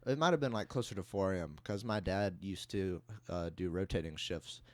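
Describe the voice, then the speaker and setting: Low-pitched young man chatting casually. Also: moderate pace, darkish tone, no echo. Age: young adult. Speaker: podcast co-host. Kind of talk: casual anecdote and banter.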